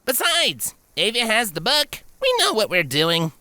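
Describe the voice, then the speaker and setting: A man's voice: high-pitched, huge pitch swings, measured pace, sharp tone, no echo, pitched above the performer's own voice, exaggerated voice. A man voicing a brash, cocky tomboy pegasus filly, breezy and dismissive, for a storybook reading.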